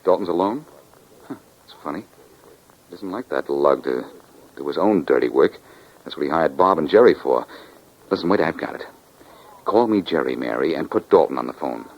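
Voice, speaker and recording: A detective hero in a vintage radio show. His voice is deep and swings widely in pitch. He is urgent, wry and slangy. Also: expressive, normal speed, long pauses, dull audio, full character voice. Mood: hushed, urgent. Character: quick-witted, urgent male amateur detective hero. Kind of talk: 1940s radio detective drama acting. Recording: vintage 1940s radio recording.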